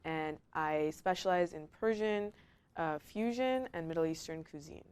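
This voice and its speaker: A woman with a smooth voice, a chef chatting casually among fellow contestants. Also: deep voice, a little slow, a little mellow. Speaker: chef and cooking school founder, contestant. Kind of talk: casual chat.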